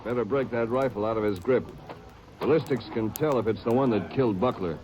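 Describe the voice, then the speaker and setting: A man's voice: medium pitch, brisk, a little mellow, soft background music, close to an everyday voice. A man playing a fellow Texas Ranger in a 1940s radio drama, plain-spoken and practical. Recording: old radio broadcast, distant.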